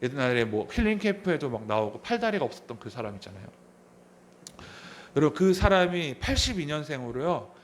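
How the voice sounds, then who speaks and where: A man's voice: on the high side, sing-song, a little fast, slight background noise. A man in his thirties preaching a sermon as a pastor, asking the congregation questions and repeating key phrases. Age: 30s. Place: church sanctuary.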